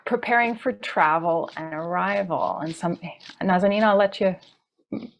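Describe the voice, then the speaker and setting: Woman webinar host, lowish voice, encouraging, relays chat comments. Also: moderate pace, creaky, rough recording. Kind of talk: webinar moderation.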